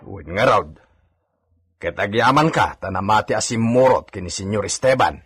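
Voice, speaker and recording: A wary, scolding, raspy Ilocano servant. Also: male voice, on the low side, animated, moderate pace, a bit bright, heavily acted. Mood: wary, admonishing. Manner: admonishing, wary. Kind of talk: Ilocano radio drama acting.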